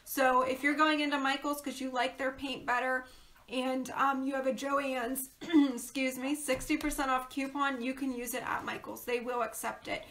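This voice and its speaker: A woman's voice: high-pitched, little pitch movement, normal speed, fairly bright tone. A woman who is losing her voice, chatting casually and rambling with lots of ums and laughs while hosting a crafting video. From Pennsylvania, USA. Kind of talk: casual crafting livestream chat.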